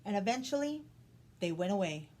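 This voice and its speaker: A woman's voice: medium pitch, sing-song, moderate pace, a little mellow. A woman reading a children's story aloud as a storytime host. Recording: some background noise.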